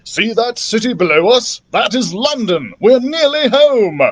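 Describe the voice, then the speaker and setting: Guy doing an excitable storybook professor adventurer, raised pitch, bossy n declamatory, very animated. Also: a little slow, heavily acted. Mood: excited, commanding. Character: commanding, excitable male scholar-adventurer, a learned doctor.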